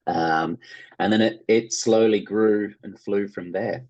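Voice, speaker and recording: A man's voice: on the low side, steady tone, takes it slowly, a little mellow, highs cut off. An Australian man speaking casually as an interview guest, rambling and hedging as he goes. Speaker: interview guest, playwright and actor.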